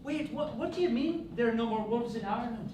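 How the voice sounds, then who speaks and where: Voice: mid-range voice, animated, a little fast, recorded from a distance, natural voice. An actor playing a playful Argentine grandchild who laughs and likes playing with accents on stage. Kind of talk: stage play acting. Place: theatre stage. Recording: roomy stage recording from afar with noise.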